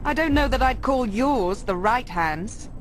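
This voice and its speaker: An actress playing a wry, independent young former Templar in a video game, with a measured delivery. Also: female voice, on the high side, animated, faint music behind. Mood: wry, urgent. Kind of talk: scripted video game cutscene acting.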